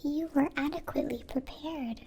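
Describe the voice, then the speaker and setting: A woman voicing a gentle female alien in an ASMR roleplay, whispering softly and breathily with stilted, formal, precise phrasing. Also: high-pitched, normal speed, noisy background. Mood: calm, caring. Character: gentle captive-born female alien caring for a wounded human.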